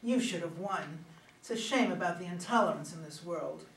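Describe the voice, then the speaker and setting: A woman playing a confident, smooth-talking young attorney general in an amateur play reading, poised and self-assured. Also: lowish voice, normal speed, close to an everyday voice. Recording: distant, echoey room, faint noise.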